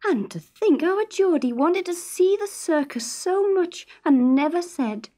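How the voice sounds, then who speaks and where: Woman as a fretful, high-pitched mother. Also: lively intonation, measured pace, dark tone. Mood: worried. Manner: anxious, nagging. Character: fretful working-class mother and wife.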